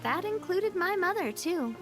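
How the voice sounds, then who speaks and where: A young woman leader in an anime-style game, with a high, sincere voice. She speaks with composed, reflective resolve and expressive phrasing over faint background music. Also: a little slow. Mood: wry, exasperated.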